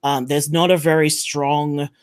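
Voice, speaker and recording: A man's voice: a little high, little pitch movement, unhurried, clean tone, dry recording, clean studio sound. A man with an Australian accent talking casually and emphatically as a panelist on a podcast.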